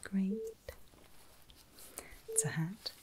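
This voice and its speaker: A woman speaking in a breathy whisper, gently reassuring and encouraging as she plays a clinician in an ASMR medical roleplay. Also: mid-range voice, huge pitch swings, drawn-out words, background noise. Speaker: ASMR performer playing a surgical clinician.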